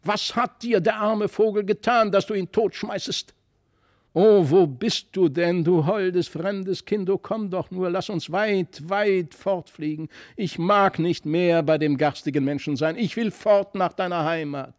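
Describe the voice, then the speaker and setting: A man's voice: high-pitched, measured pace, no room sound, clean studio sound, pitched above the performer's own voice. A man voicing a hot-headed, defiant little boy in a storybook, exclaiming boldly and impetuously. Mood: indignant, then pleading.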